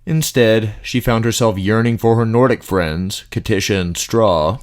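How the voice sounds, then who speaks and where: A man's voice: fairly low pitch, unhurried. A man reading scripted fantasy story narration as an audiobook narrator.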